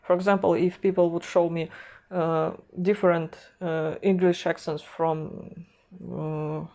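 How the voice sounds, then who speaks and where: A young woman with a Russian accent chatting to camera from a room at home, in a slightly low voice. She talks hesitantly, full of fillers, restarts and self-mocking laughs, picked up on a lapel mic. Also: flat delivery, measured pace, dark tone. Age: young adult. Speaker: artist and animation vlogger. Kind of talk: casual talk to camera. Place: home room with a gaming chair, indoors.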